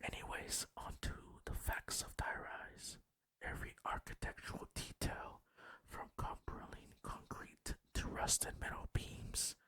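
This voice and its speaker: Breathy ASMR whisperer, close and dry. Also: bright, forceful tone, no echo. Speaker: host of a gaming ASMR channel. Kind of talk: whispered ASMR game facts narration. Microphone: close microphone.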